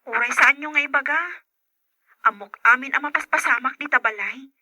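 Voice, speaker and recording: Young Ilocano daughter in radio serial: very bright, dry, earnest, wounded. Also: female voice, high voice, normal speed, dry recording, heavily acted. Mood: sad, tender. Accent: Filipino Ilocano. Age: young adult. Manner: plaintive, earnest. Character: hurt grown daughter, working, devoted to mother. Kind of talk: radio serial drama acting.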